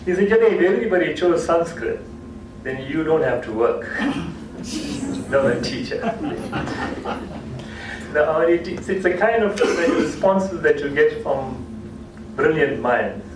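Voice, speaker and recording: A man's voice: a little high, expressive, a little slow, long pauses. A man whose first language is Gujarati tells an anecdote at a cultural talk, repeating and restarting phrases as he goes. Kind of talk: storytelling lecture. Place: hall. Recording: echoey hall, distant mic, noisy.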